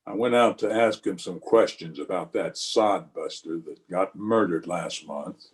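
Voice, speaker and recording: A man playing a calm, plain-spoken frontier marshal in a radio western, measured and laconic. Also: low voice. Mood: matter-of-fact. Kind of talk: old-time radio western acting.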